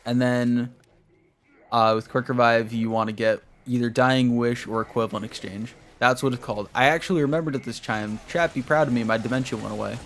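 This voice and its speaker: A man's voice: mid-range voice, fairly even intonation, fairly quick, fairly bright tone, slight background noise. A young man streaming a game, chatting casually with his viewers in a rambling way while he plays. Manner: rambling, talks to chat while playing.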